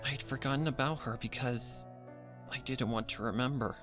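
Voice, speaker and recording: A man voicing a gentle, earnest young pony mare who speaks softly and sincerely, in a fan fiction story reading. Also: medium pitch, fairly even intonation, normal speed, music in the background, lightly acted. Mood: reflective, regretful.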